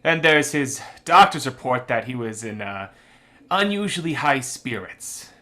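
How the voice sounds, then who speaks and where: An actor playing a brisk, wry policeman in a radio murder mystery, talking in a conversational, emphatic way. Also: mid-range voice, animated, bright, forceful tone. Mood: matter-of-fact. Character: brisk, wry policeman briefing an inspector on suspects.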